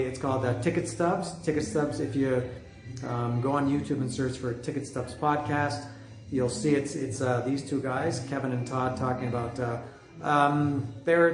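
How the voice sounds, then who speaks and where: A middle-aged man hosting a music podcast from home, talking loudly in a casual, rambling way with many restarts. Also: on the high side. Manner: chatty, rambling, with frequent restarts; loud voice. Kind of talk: casual monologue. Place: home, street music outside. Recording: echoey room with music outside. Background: music from outside.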